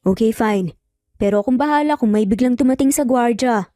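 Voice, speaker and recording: Woman as a stern father, mellow and high-pitched. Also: no room sound, clean studio sound, soft background music, natural voice. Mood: doubtful, resigned. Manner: cold, firm, measured. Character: stern, protective father of young triplets.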